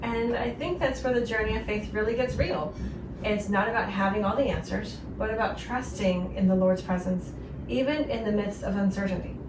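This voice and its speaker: A woman's voice: medium pitch, moderate pace. Echoey, distant woman hosting devotional radio. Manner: earnest, gentle encouragement. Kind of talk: devotional radio talk with co-host. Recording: roomy echo, distant, clearly noisy.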